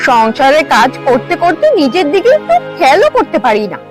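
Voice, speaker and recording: Woman acting a vain, high-pitched cartoon village wife. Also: very animated, fairly quick, soft background music. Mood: defiant, boastful. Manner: boastful, complaining. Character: vain, lazy, quarrelsome young village wife.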